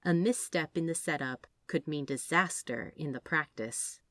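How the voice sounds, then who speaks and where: A woman reading a story aloud as an audiobook narrator, in expressive scripted narration. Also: deep voice, a little slow, no echo, clean studio sound. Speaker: audiobook narrator of fan fiction.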